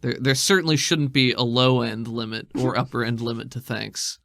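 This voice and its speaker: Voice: mid-range voice, fairly even intonation, normal speed, vocal fry, fairly bright tone, dry recording, clean studio sound. A game master and podcast host, improvising tabletop narration and chatting casually.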